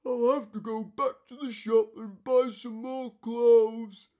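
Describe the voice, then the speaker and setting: A woman voicing a kind giant in a children's storybook, with a booming, drawn-out, sing-song delivery. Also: fairly even intonation, dark tone, muffled recording. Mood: dismayed. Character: kind, cheerful giant who sings to himself.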